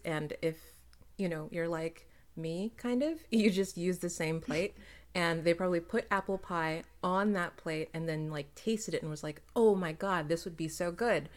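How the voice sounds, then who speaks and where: Woman, slightly low register, informal conversational panelist. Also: moderate pace. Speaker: panelist on a group question-and-answer chat. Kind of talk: casual chat.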